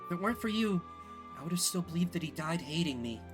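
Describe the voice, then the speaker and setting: A man voicing a gentle, caring young woman in a visual novel, soft and earnest and easily flustered. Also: on the high side, lively intonation, quick pace, music in the background, pitched above the performer's own voice, close to an everyday voice. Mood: tender, comforting. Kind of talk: visual novel character dialogue.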